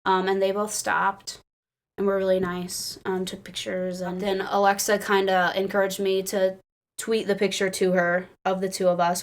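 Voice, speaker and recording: An American woman casually telling excited personal stories in an animated, giggly way, peppering her speech with "like". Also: medium pitch. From Illinois, United States. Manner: animated, giggly, says "like" often. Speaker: podcast crew member telling fan stories.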